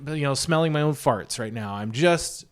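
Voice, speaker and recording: A man co-hosting a podcast, talking loosely and swearing casually as he jokes his way through his points. His voice sits at an ordinary male pitch. The chat is relaxed and unscripted. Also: fairly quick, clear, resonant tone. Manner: rambling, joking and profane. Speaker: podcast co-host.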